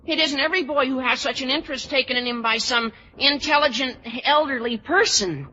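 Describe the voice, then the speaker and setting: Very bright, squeaky teenage boy. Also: a little fast. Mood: earnest, tactless. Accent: American. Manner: gushing, guileless, tactless. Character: gawky, tactless, lovestruck teenage schoolboy. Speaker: actor. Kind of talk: old-time radio sitcom acting. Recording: muffled vintage radio recording, faint noise.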